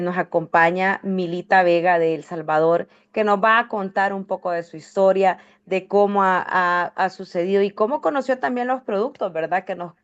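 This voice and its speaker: A woman's voice: fairly low pitch, highs cut off. A woman hosting a health testimonial session, talking in loose run-on phrases with frequent restarts. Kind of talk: warm conversational hosting.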